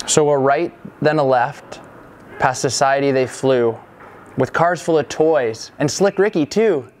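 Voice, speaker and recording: A man reading a scripted rhyming holiday poem in measured storytelling phrases. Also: mid-range voice, a little mellow, a little hiss or hum. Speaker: reader of a Christmas poem parody. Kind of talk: scripted verse narration.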